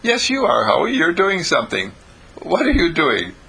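A man's voice: a little high, huge pitch swings, moderate pace, creaky, bright, forceful tone, slightly rough, pitched above the performer's own voice, exaggerated voice, rough recording. A man voicing a curious, insistent young sister who questions her brother in a children's story. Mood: nosy, insistent.

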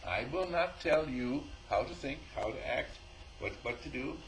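A man with a mid-pitched voice gives a casual spiritual talk. He acts out both sides of conversations, often chuckling. A faint hiss runs under the recording. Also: normal speed, low-quality recording. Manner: animated storyteller, acts out dialogue, chuckles often. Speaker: spiritual teacher.

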